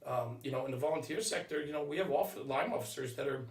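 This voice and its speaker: Male podcast guest, mid-pitched, earnest and halting discussion, roomy sound, set back from the mic. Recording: roomy echo, distant microphone.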